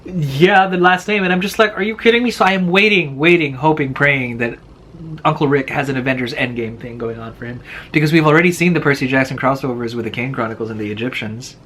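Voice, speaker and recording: A man chatting casually about books in a slangy, wry, halting way, often doubling back on his words. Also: mid-range voice, fairly bright tone, slight background noise. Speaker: co-host of a book vlog. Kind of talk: casual book chat.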